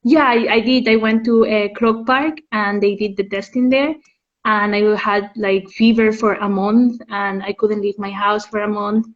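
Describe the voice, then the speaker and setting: A woman's voice: medium pitch, fairly even intonation, measured pace. A young woman from Spain, chatting casually as an interview guest. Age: young adult. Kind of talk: casual chat. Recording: dull lo-fi internet call audio.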